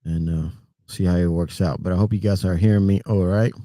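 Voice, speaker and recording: Deep, mellow Puerto Rican trucker. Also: male voice, fairly quick, dry recording, studio quality. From Puerto Rico. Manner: relaxed, rambling, greets chat by name, chuckles often. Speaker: trucker livestream host. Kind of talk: casual livestream chat with viewers.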